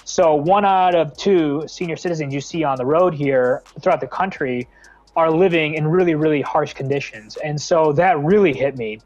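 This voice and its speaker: A young American man speaks earnestly in a guest interview, his talk full of fillers and restarts. His voice sits a little high. The sound is dull and lo-fi, like a video call. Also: moderate pace, a little mellow. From San Francisco Bay Area. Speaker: nonprofit founder, guest.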